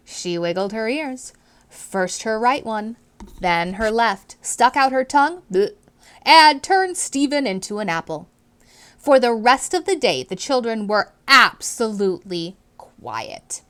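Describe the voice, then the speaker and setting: A woman reads aloud as a teacher would to young children. Her mid-pitched voice moves up and down in an animated way. She leans on key words for drama and addresses her listeners directly. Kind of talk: expressive children's read-aloud with questions to listeners.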